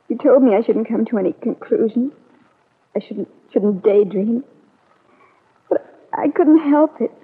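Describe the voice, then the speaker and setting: An American actress playing a heartbroken, brooding young niece in a classic radio serial, wounded and melodramatic in delivery. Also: female voice, fairly high pitch, breathy edge, exaggerated voice. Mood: anguished. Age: young adult. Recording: tinny narrow-band old radio recording.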